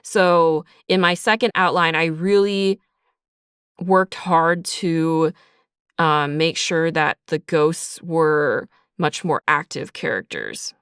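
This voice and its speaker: A woman's voice: deep voice, steady tone, slow pace, a bit bright, clean tone, dry recording, clean studio sound. A woman speaking casually in an interview as a writer, chatty and informal, peppering her talk with "like" and "sort of". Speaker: audio drama writer being interviewed. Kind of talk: casual behind-the-scenes interview.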